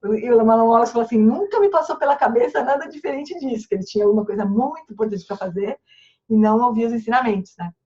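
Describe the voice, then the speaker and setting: A woman leading an online dharma study group, slowly chanting long-life prayers and then telling stories in a lively, affectionate way. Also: fairly high pitch. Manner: slow recited chants and warm animated storytelling. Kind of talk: chanting prayers and informal teaching. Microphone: online call microphone. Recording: slightly muffled lo-fi sound.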